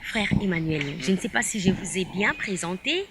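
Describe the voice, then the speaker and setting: A woman's voice: mid-range voice, animated, moderate pace, crowd noise. A woman from DR Congo hosting a talk show, chatting casually with a guest and teasing him now and then in Lingala phrases. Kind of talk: casual interview chat. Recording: loud background noise.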